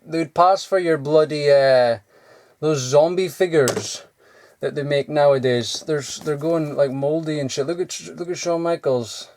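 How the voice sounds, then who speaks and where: A man's voice: fairly high pitch, measured pace, darkish tone. Rambling, swearing man. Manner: swears often, filler-heavy and digressive. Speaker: vlogger. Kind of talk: casual rambling show-and-tell. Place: cluttered loft.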